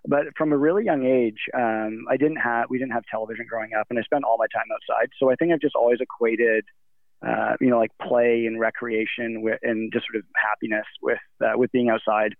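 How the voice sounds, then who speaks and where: A man's voice: medium pitch, gravelly, dry recording. A man being interviewed over a remote call, talking casually in a rambling way with many fillers and restarts. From Nigeria. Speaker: guest. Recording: tinny phone-like call line.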